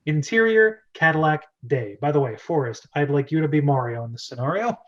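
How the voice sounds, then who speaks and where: Guy narrating screenplay stage directions, voice a bit high, kinda dull audio. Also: animated, fairly quick. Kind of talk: dramatic script reading, narration.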